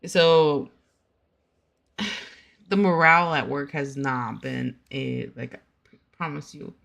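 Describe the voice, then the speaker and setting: A woman's voice: low voice, fairly even intonation, slow pace, creaky, fairly bright tone. A young woman streamer who just woke up, groggy and tired, chatting casually with her viewers and laughing often. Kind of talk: casual chat.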